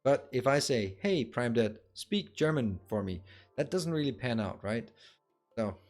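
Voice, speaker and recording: A man's voice: mid-range voice, expressive, measured pace, a little mellow, soft background music. Chatty man thinking aloud while gaming. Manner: thinking aloud while building, casual and chuckling. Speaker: streamer. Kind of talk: livestream gameplay tutorial, chatting with viewers.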